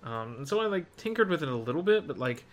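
A man's voice: fairly high pitch, very animated, fast. A man co-hosting a podcast and chatting casually about video games. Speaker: podcast co-host. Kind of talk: casual video game chat.

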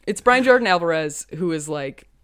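A woman's voice: on the low side, expressive, normal speed, a bit bright, no room sound, studio quality. A woman co-hosting a podcast, chatting casually about a TV episode and joking as she acts out lines. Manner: laughing, jokey, acts out quotes. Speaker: podcast co-host. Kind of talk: casual chat recapping a TV episode.

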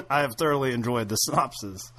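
A man co-hosting a podcast, his pitch swinging widely as he laughs and teases his friends. He talks in a mid-range voice with animated, playful energy. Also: normal speed, background noise. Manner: laughs often, playful teasing. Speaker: podcast co-host. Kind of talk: casual podcast chat.

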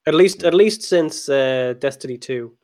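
A man with a mid-pitched voice talks mildly with friends and makes fun of himself a little. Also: measured pace, a little mellow. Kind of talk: casual group chat.

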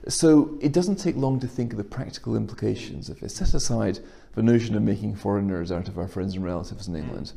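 A man from Scotland speaks in a fairly low, mellow voice. He argues a political case fluently, stacking point on point as a discussion guest. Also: fast, creaky, hardly any pauses. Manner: fluent, argumentative, building points step by step. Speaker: guest commentator.

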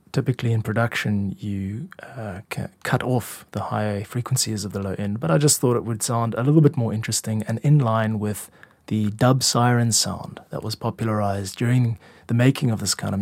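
A man's voice: fairly low pitch, moderate pace, a little mellow, dry recording. A man giving an informal, instructive tutorial as a music producer and podcast host. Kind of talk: explanatory tutorial narration.

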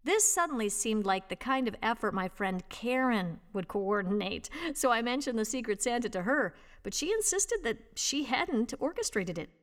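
A woman reading a written personal piece aloud as scripted narration, with a measured, expressive storytelling delivery. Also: on the high side. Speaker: volunteer reader and host of an audio reading service.

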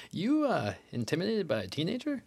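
An easygoing, self-satisfied male teacher in an audio play. His speech is casual and very lively in intonation, with a smug edge. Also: high voice, moderate pace, gravelly, breathy edge, full character voice. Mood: dismissive, teasing. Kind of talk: naturalistic audio drama acting.

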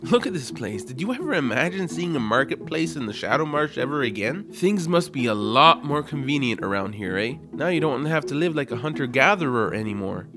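An actor playing an upbeat, eager young adventurer, cheerful and coaxing, in a family audio drama. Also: male voice, mid-range voice, sing-song, fairly quick, hardly any pauses, over music, natural voice. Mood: persuasive, bright.

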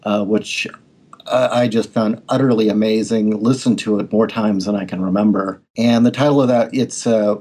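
A man's voice: lowish voice, steady tone, normal speed, a little mellow. A man speaking as a publisher guest on a podcast panel, enthusiastic and joking. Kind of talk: casual podcast panel talk.

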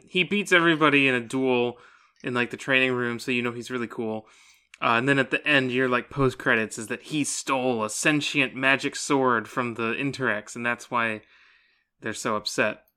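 A man's voice: medium pitch, normal speed, bright, forceful tone. A man co-hosting a podcast, discussing a book in a casual, jokey way. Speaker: podcast co-host.

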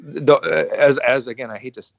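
A man's voice: medium pitch, lively intonation, no room sound. A man, a podcast guest and musician, talking casually with many restarts, chuckles and swear words. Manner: halting, repeats words, chuckles, swears freely. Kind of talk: casual interview talk. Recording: muffled lo-fi sound.

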